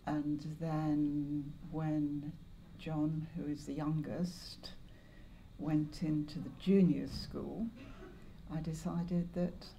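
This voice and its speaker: Older english lady reminiscing, gravelly and really mellow, pauses a bit, slight background noise. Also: low voice, flat delivery, poor audio. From Lancashire, northwest England. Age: 70s or older. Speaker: interviewee, longtime community volunteer. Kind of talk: oral history interview, reminiscing.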